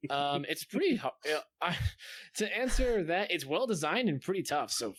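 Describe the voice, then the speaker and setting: A man's voice: on the high side, big pitch swings, normal speed, fairly bright tone, no room sound. A man co-hosting a podcast, chatting casually and enthusiastically about games, with some swearing. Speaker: podcast co-host. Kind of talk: casual gaming chat.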